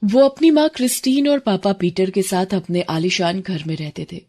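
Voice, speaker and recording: A woman's voice: mid-range voice, moderate pace, mellow. A woman narrator reading a scripted moral story for children.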